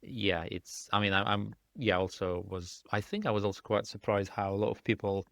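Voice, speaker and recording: Russian guy, english not his first language, slow and searching with lots of restarts, kinda low voice. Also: level tone, vocal fry, a bit bright, no echo, clean studio sound. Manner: thoughtful, halting, many restarts and fillers. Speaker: indie game developer guest. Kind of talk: casual interview conversation. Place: lives in the UK.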